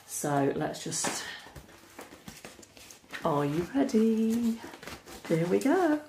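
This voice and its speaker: Woman, hoarse with a cold, low and gravelly, chatty and excitable. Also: slight background noise. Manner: chatty and enthusiastic, frequent fillers. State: croaky from a cold. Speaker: crafting channel host. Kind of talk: casual unboxing chat. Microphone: camera over a tabletop.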